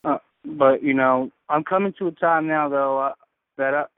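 A man's voice: fairly high pitch, normal speed, smooth, no echo. A man speaking casually and reflectively on a community call, earnest and often searching for his words. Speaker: caller on a faith community call. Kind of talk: casual, reflective personal sharing. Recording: narrowband, radio-like phone line.

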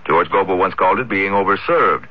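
A man's voice: deep voice, brisk, husky, dry recording. A man with an American accent anchoring a scripted radio newscast. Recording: dull lo-fi old radio broadcast.

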